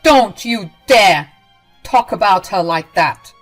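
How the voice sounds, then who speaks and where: A protective young husband , as a woman storyteller voices him, raised and forceful as he defends his partner. Faint music sits behind the voice. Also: mid-range voice, expressive, slow. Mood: stern. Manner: worried, then sternly protective.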